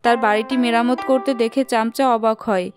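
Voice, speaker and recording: A woman's voice: a little high, fairly even intonation, normal speed, music in the background. A woman narrating a scripted children's story.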